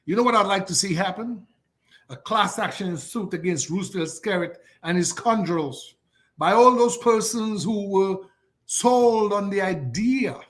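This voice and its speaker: A man's voice: high-pitched, a bit bright. An older man from Dominica, speaking as a guest on a political talk show in a fiery way, piling up repeated rhetorical lists. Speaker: guest commentator.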